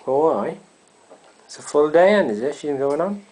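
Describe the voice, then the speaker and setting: Mellow Welsh Valleys man, chatting casually. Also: on the high side, animated, normal speed, poor audio. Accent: South Wales Valleys. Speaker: visitor asking questions. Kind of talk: casual chat.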